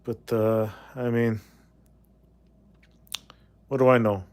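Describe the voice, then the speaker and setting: A man's voice: mid-range voice, flat delivery, slow pace, darkish tone. Man, forties, from Canada, casual livestream host, rambling, blunt, reads comments aloud. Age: 40s.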